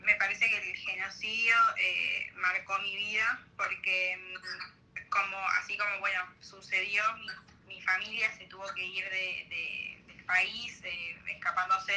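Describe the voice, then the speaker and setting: Bright-voiced young woman from Argentina. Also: mid-range voice, flat delivery, unhurried, rough recording. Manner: filler-heavy, rambling, sincere. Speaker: interviewee. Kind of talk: casual interview answers.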